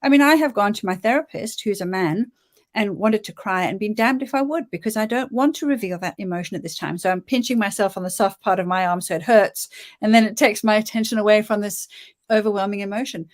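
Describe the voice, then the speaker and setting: A woman's voice: mid-range voice, a little fast. A woman guest on a panel discussion, speaking in an earnest, reflective way with some restarts.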